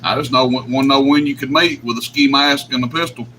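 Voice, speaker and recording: A man's voice: mid-range voice, fairly even intonation, a little fast. A man bantering casually on CB radio in a teasing, colloquial manner. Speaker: CB radio operator. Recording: noisy CB radio transmission. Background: radio noise.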